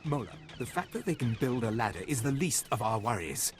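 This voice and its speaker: A man's voice: medium pitch, animated, fairly quick, soft background music, lightly acted. A man playing a worried, exasperated scientist in a video game, grave and pointed. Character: worried, exasperated male professor of xenozoology. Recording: noisy game ambience.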